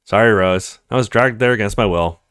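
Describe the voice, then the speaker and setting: A man's voice: fairly low pitch, fairly quick, fairly bright tone, clear, resonant tone, no room sound, clean studio sound, pitched below the performer's own voice, close to an everyday voice. A man voicing an easygoing, protective young man in a visual novel, casual and reassuring.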